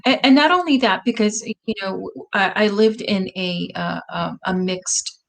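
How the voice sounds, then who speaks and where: This is a woman hosting a relaxed conversation show. Her voice sits at a middle pitch. She speaks thoughtfully, pausing and restarting as she searches for the right words. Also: creaky. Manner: thoughtful, halting, many restarts. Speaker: host. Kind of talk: casual conversation on a video podcast.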